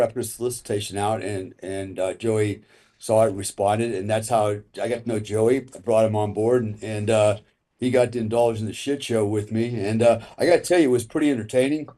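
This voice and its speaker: A man's voice: fairly low pitch, warm tone. A man, a podcast guest, telling a rambling story with chuckles along the way. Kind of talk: casual storytelling.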